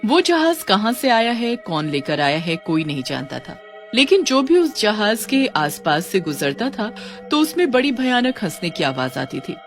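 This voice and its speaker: A woman's voice: mid-range voice, big pitch swings, normal speed, faint music behind. A woman narrating a scripted cartoon story.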